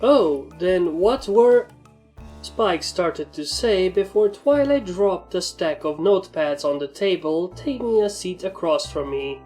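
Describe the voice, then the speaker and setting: A woman narrates a scripted story at a very slow pace. Her voice is a little low for a woman. Music plays softly behind her. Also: lively intonation.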